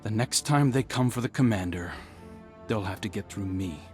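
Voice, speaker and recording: A man's voice: lowish voice, normal speed, soft background music, lightly acted. An actor playing an earnest young male fighter who reflects on a hard choice. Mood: reflective. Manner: earnest, reflective, quietly defiant. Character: young male guardian, earnest and guilt-stricken. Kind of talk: video game cutscene dialogue. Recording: game score behind.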